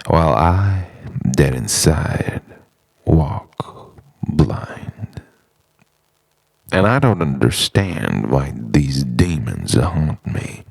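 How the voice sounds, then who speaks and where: Very deep, gravelly man, slow spoken word. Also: lively intonation, darkish tone, no room sound. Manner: dramatic, halting delivery in short phrases. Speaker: narrator of own written reflection. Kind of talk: introspective spoken-word monologue.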